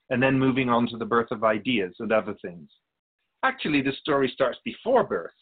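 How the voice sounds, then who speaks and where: A man playing a wise, patient otherworldly spirit in a radio drama, explaining things in a measured, gently teasing way. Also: medium pitch, breathy edge, narrow, tinny audio. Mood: musing, playful. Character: Keeper of Souls' Purpose, wise otherworldly spirit, patient and curious.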